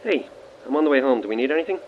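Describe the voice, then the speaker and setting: Over a dull, noisy call, an actor plays a breezy Irish boyfriend who talks quickly. His lowish voice swings about with dry, teasing humour, in natural audio-drama style. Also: animated, natural voice. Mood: wry, breezy. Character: wry, teasing boyfriend calling on the way home. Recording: muffled, noisy call from car.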